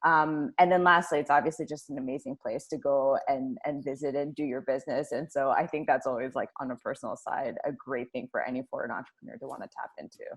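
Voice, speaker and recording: A woman's voice: very deep voice, fairly even intonation, fast, hardly any pauses, slightly rough. A woman executive answering panel questions in an enthusiastic, casual manner. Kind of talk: online panel discussion.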